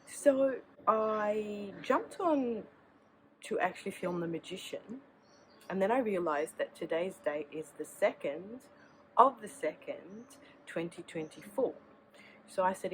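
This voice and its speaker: Woman from australia with a sore throat, lowish voice, rambly casual chat, faint outdoor noise behind. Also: a bit bright, low-quality recording. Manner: chatty, rambling, restarts sentences. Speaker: tarot channel host.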